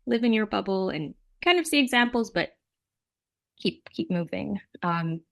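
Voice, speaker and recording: A woman's voice: mid-range voice, lively intonation, a little slow, vocal fry. A middle-aged woman from Jamaica answering interview questions conversationally, in a reflective, explanatory way with frequent asides. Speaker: nonprofit representative, interview guest.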